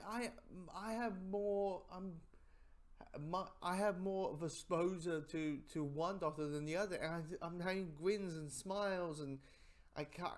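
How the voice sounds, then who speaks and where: A man giving a casual spoken review in a rambling, halting way, often repeating his phrases. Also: sits high.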